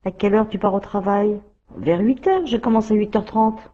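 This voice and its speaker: Woman, quick everyday dialogue, dull muffled sound. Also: medium pitch.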